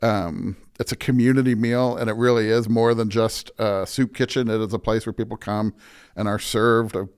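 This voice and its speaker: Clear-voiced American man, informal pastor. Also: on the low side, fairly even intonation, moderate pace. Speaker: pastor, interview guest. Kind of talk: casual interview conversation.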